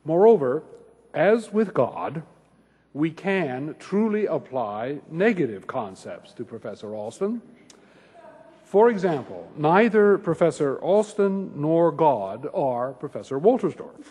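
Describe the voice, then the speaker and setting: A man giving a scripted, formal introduction to a lecture, with a measured delivery and dry humour. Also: fairly high pitch, big pitch swings, dark tone. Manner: measured, formal, dryly humorous. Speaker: host introducing a guest lecturer. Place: large lecture hall at a university. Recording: echoey hall, slightly muffled voice.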